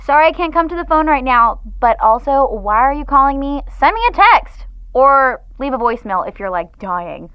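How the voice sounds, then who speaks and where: Actress as a breezy young woman on a voicemail, bright and lively, through a muffled phone sound. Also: high-pitched, normal speed, hardly any pauses, no room sound. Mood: flippant. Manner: flippant, sing-song.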